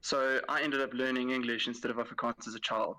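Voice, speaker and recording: A man's voice: medium pitch, flat delivery, fast talker, pushed and bright, rough recording. A man from South Africa calling in to a show, chatting casually with hesitant fillers. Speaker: caller. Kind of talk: casual call-in chat.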